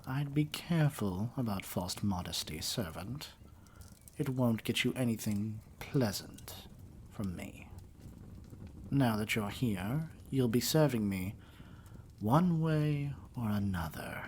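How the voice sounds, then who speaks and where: Evil king for ASMR roleplay, male actor: lowish, unhurried, haughty and menacing, with noise behind the voice. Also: lively intonation, a little mellow. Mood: silky menace. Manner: pompous, deliberate, dripping with disdain. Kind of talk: theatrical ASMR roleplay monologue.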